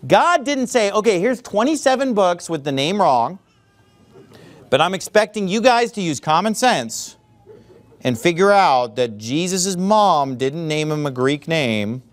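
A man preaching with a high, lively voice that leaps around as he drives each point home. He is sarcastic and emphatic, and he bursts out loud on single words. He sounds like a middle-aged pastor delivering a sermon in a church. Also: unhurried.

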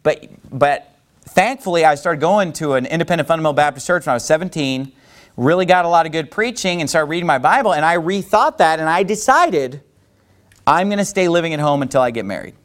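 A male preacher delivering a sermon with a fairly high voice. His pitch leaps around a great deal as he hammers home his points. The delivery is emphatic and animated throughout. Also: brisk.

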